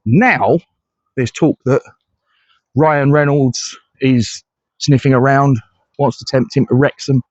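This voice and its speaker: A man's voice: mid-range voice, fairly even intonation, takes it slowly, long pauses, a little mellow, dry recording, muffled recording. A middle-aged man from England giving blunt, conversational solo commentary as a fan channel host, with frequent hesitant restarts.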